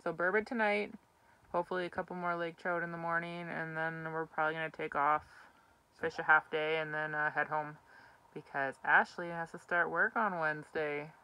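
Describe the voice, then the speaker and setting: A woman's voice: deep voice, level tone, moderate pace, pushed and bright, low-quality recording. A young woman chatting casually in an outdoor vlog, recorded close to the camera inside a small ice fishing tent. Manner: chatty, giggly, easygoing. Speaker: outdoor vlogger. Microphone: camera held close.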